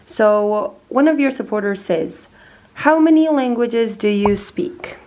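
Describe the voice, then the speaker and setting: A woman briskly asking a vlogger questions sent in by followers. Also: on the high side, lively intonation, mellow. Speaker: interviewer reading a follower question.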